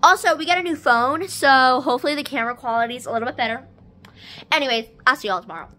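A woman's voice: sits high, fast, bright, forceful tone. A teenage girl vlogging casually from her living room, rambling with lots of fillers into a phone camera. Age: teens. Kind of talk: casual vlog chat. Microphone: phone camera at arm's length.

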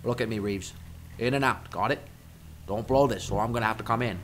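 A man's voice: on the low side, a little fast, a little hiss or hum, lightly acted. A man playing a commanding, no-nonsense federal agent in an old-time radio drama. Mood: stern.

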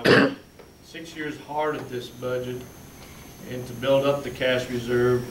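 A man plainly walks a board through budget handouts, pitch sitting in the middle of the range. He sounds far from the microphone in a roomy, echoing meeting hall. A faint hum runs underneath him. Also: a bit bright. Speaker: school business official presenting budget. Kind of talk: explaining figures at a school board meeting. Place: large meeting room with fluorescent lights. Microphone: far room camera. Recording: echoey room, distant mic, faint hum.

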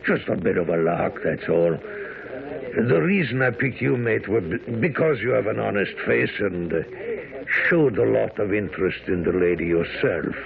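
Gravelly sly villain. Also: male voice, fairly low pitch, expressive, moderate pace. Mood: wheedling, sly. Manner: wheedling, chummy. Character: sly scheming British lowlife villain recruiting thugs. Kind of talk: radio drama acting. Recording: muffled narrow-band old radio broadcast, crowd behind.

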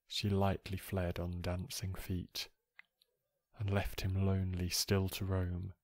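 A man with a soft voice reading poetry aloud in a measured, gentle, calming way. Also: low-pitched, no room sound. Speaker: poetry reader. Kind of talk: slow, calm recited poetry reading for relaxation.

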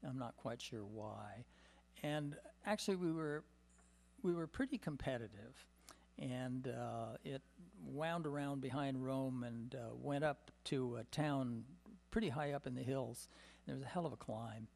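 An older man with a gravelly voice talks into a desk microphone in a meeting room. He tells long, rambling stories from his past, full of "uh" and "um" fillers and small chuckles. His voice sits at a middling pitch for a man. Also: unhurried, darkish tone. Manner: storytelling with many "uh" and "um" fillers, chuckling. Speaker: symposium panelist. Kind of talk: rambling personal reminiscence. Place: meeting room, seated at a table. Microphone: desk microphone on a stand.

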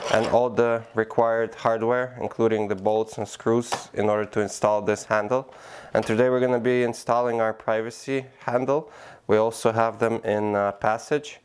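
A man giving a plain, practical how-to demonstration, pausing often with small fillers. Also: fairly low pitch, clean tone. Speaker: product demonstrator. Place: indoor room by a door.

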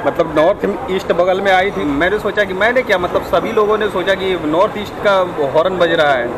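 A man's voice: sits high, a little fast. A young man with a slightly raised voice chats loosely and directly to his viewers, full of fillers. He films himself on an action camera at arm's length on an open railway platform, and heavy train and station noise presses in behind him. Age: young adult. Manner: chatty, talks straight to viewers, many fillers. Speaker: travel vlogger. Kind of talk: casual vlog chat to camera.

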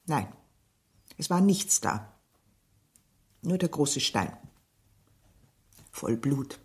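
A woman's voice: extremely low pitch, big pitch swings, very slow, a little mellow, exaggerated voice. An actress playing a guarded, uneasy middle-aged village mother in a German radio play, terse and defensive in her answers. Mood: shaken.

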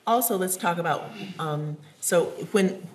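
A woman with a low voice leads a mock interview, asking probing, encouraging questions. She sounds like a trainer in a workshop classroom, picked up on a table mic with a faint hum behind her. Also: measured pace. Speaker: writing trainer. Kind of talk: coaching a student in a mock interview.

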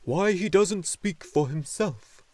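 A man voicing a wise, joyful luck dragon in a storybook, speaking slowly and solemnly with great deliberation. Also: sits high, lively intonation, a little mellow, no room sound, voice pushed up, exaggerated voice. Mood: solemn, measured. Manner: slow, solemn, deliberate delivery.

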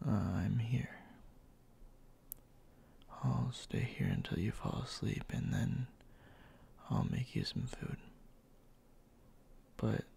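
A man playing a bossy but tender young boyfriend in a soft, intimate ASMR roleplay, speaking in a halting, breathy murmur that often drops to a whisper. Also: on the low side, huge pitch swings, full character voice. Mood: worried, soothing. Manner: halting, murmured, scolding yet caring.

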